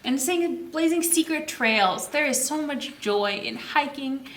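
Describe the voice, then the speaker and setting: Female children's storyteller, fairly high and slow-paced, sing-song and exclaiming, recorded with noticeable room echo. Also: lively intonation, slight background noise. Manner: sing-song, exclaiming, asks questions and answers them. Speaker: children's librarian hosting story time. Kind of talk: read-aloud and explaining to young children.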